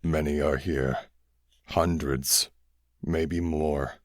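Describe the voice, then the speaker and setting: A man gives voice to a wounded alien close to death, speaking in a slow, solemn, measured way. The voice sits very deep and stays dry, with no room around it. Each word comes out heavy and grave. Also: fairly even intonation, no room sound, full character voice. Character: dying gentle alien, grave and slow-spoken.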